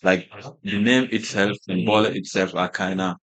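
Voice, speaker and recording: A Gambian man whose first language is Wolof talks through a casual interview in a fairly low voice. He feels his way along with lots of fillers, repeats and restarts. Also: level tone, normal speed, a bit bright. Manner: hesitant, many fillers and restarts. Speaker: fashion brand founder, guest.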